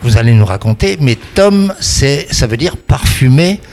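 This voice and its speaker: A man's voice: medium pitch, sing-song, a little slow, darkish tone. A man hosting a radio interview, asking questions in a chatty, conversational way. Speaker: radio host.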